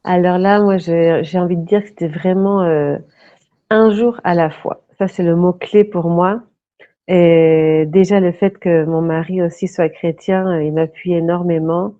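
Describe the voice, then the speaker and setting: Woman, 30s, from Brittany, interview guest, earnest, halting, muffled lo-fi sound. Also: fairly low pitch, fairly even intonation, mellow, dry recording. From Brittany, France. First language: French. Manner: earnest and reflective, pausing to search for words. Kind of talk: casual interview talk. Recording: dull, lo-fi, dry sound.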